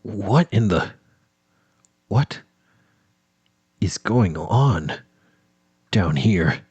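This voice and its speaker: A man's voice: on the low side, lively intonation, very slow, husky, highs cut off. A man narrating a first-person horror tape as he explores, tense and muttering, swearing and dropping into whispers. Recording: loud background noise.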